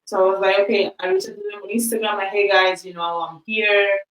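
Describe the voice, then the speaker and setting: A woman's voice: mid-range voice, lively intonation, fast, fairly bright tone, reverberant, recorded from a distance, poor audio. A woman whose first language is Spanish, telling a story on a podcast in a chatty way, acting out the quoted exchanges. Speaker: podcast host.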